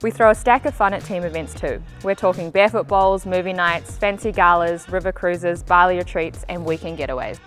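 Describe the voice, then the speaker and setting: A woman's voice: on the low side, fairly quick, hardly any pauses, soft background music. An Australian woman narrating a scripted company recruitment video as a presenter.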